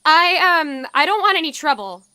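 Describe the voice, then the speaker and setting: A woman's voice: sits high, animated, moderate pace, sharp tone. A woman playing a nervous, naive young vault dweller in an audio drama, hesitant and wary in her delivery. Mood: frightened. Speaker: voice actor.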